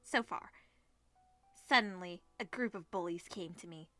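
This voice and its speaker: A woman's voice: fairly low pitch, moderate pace, fairly bright tone, no echo, soft background music. A woman reading visual novel text aloud as host, dropping in informal asides and reactions. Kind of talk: reading game text aloud with casual commentary.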